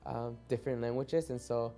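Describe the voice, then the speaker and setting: A man's voice: mid-range voice, steady tone, moderate pace, creaky, warm tone. A young man from Mexico whose first language is Spanish, a soccer player speaking reflectively in a documentary interview and often repeating words as he restarts.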